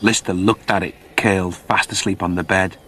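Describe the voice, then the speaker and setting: A man's voice: low-pitched, steady tone, normal speed, sharp tone. A man narrating a scripted story in a dramatic storytelling style. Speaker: audiobook narrator.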